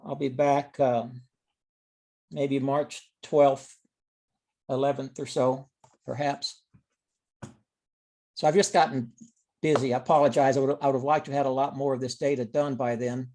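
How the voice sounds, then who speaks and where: A man's voice: medium pitch, little pitch movement, moderate pace, long pauses, a little mellow. A man giving an informal technical presentation on a conference call, explaining things step by step. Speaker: presenter of experimental data. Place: online teleconference call.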